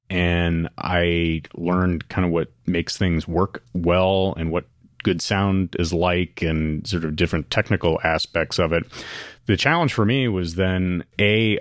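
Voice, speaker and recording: A man, explaining in a measured, casual way as a podcast host. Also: no room sound, studio quality. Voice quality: deep baritone. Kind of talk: casual conversation. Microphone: USB microphone into a laptop, with headphones.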